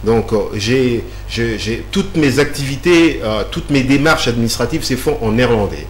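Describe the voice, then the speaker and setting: A man lays out a long explanation, stopping often on fillers and repeated words. His voice sits at a middle pitch. The room is echoey, and a faint hum runs underneath. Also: animated, normal speed. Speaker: guest. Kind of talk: explanatory interview answers.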